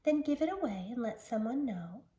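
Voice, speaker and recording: A woman, a teacher, reading a children's picture book aloud in an expressive storytelling style. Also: a little high, moderate pace. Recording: roomy, distant mic with background noise.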